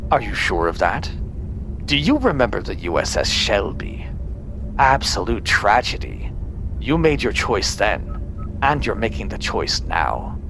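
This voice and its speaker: An actor playing a cold, bigoted, tyrannical space admiral in a sci-fi audio drama, condescending and domineering. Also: male voice, unhurried, gravelly, full character voice. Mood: cold menace. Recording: steady background rumble.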